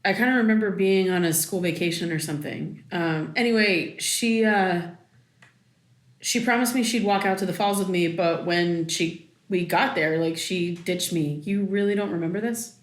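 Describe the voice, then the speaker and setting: A woman acts a daughter in her thirties with a somewhat low voice. She talks informally and haltingly, with a raw, insistent edge. The delivery is naturalistic audio drama. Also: natural voice. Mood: reminiscing, casual. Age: 30s. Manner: conversational, hesitant, informal. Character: wounded thirty-three-year-old daughter, insistent and raw.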